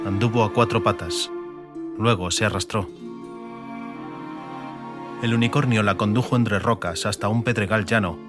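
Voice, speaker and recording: A man with an accent from Spain, narrating a fantasy audiobook in a dramatic scripted style. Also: on the low side. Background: music.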